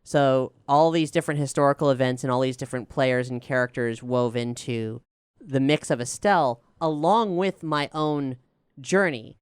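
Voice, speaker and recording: A woman chatting casually and candidly as a show creator, telling stories in an animated, self-analytical way. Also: very deep voice, normal speed, clear, resonant tone, no echo, studio quality. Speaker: show creator and writer answering questions. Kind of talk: casual reflective Q&A chat.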